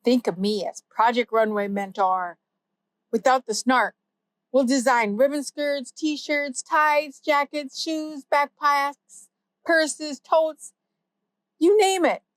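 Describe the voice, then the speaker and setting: A quick, jokey AI sidekick with a bright, high voice, firing off punchlines in a playful rush, as a woman puts it on. The sound is dry and close. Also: dry recording. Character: fast, snappy wisecracking comic AI sidekick.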